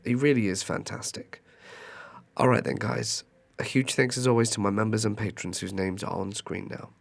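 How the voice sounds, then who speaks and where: A man hosting a horror story channel, hoarse from a cold, narrating and then chatting through an apologetic outro. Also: on the low side, steady tone, moderate pace.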